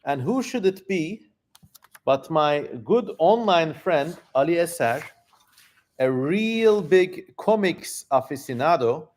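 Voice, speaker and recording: Male livestream host, somewhat high voice, casual and effusive, chatting straight to viewers. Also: lively intonation, slow, a little mellow. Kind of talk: casual livestream chat, reading out viewer comments.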